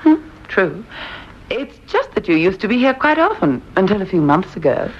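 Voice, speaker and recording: An actress playing a sensible, dry-witted housewife with a slightly breathy voice in a lo-fi old radio drama. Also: female voice, medium pitch, very animated. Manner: wry, dismissive, brisk. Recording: dull lo-fi old radio recording.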